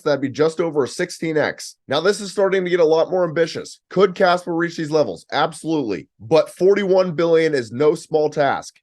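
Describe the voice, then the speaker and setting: A man's voice: fairly high pitch, darkish tone. A man hosting a crypto channel, talking up coin prices in hyped, emphatic commentary while losing his voice. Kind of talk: fast crypto market commentary.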